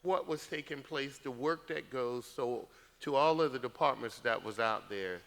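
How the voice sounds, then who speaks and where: A man's voice: medium pitch, normal speed, in a large hall. A male council member giving earnest, rambling remarks at a meeting. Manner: earnest, rambling, lively asides. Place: council chamber.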